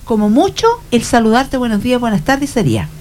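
A woman with a Chilean accent talks in a notably high voice. She is chatty and conversational, explaining her points through small imagined dialogues, as a panelist in an informal discussion would. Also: expressive, a little fast, darkish tone, a little hiss or hum. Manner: chatty, illustrates points with acted-out everyday dialogues.

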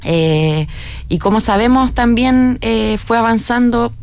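A woman hosting a radio interview, talking in a measured way with many fillers and tag questions. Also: a little high, expressive, creaky, a little mellow. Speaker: radio host.

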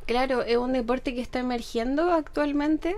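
A young woman with a Chilean Spanish accent, a sports instructor answering interview questions in a measured, friendly way. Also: high voice, a little mellow.

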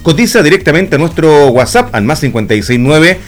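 A man's voice: on the high side, big pitch swings, fairly quick. A Chilean man hosting a radio interview from the studio, reading sponsor spots and prompting his guest with short acknowledgements. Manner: conversational, many short acknowledgements. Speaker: radio host.

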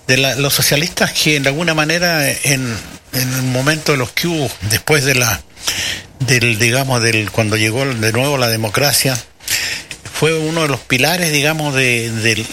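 A Chilean man hosting a casual radio talk show. He speaks haltingly, full of fillers, and readily agrees with his co-host. His voice is bright and forceful. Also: medium pitch, a little hiss or hum. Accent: Chilean Spanish. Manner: hesitant, filler-heavy, agreeable.

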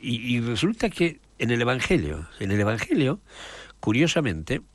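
A priest in a relaxed conversation, explaining the Gospel with rambling asides and frequent checks with "¿m?". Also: male voice, mid-range voice, normal speed. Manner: rambling, searching for names, frequent "¿m?" check-ins. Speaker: priest and chaplain guest.

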